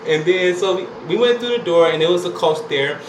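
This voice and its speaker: A man's voice: high-pitched, expressive, brisk. A young man, telling a laid-back, chatty story to camera and filling it with "you know" and "like". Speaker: vlogger. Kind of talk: casual storytelling vlog. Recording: echoey room with air conditioner hum.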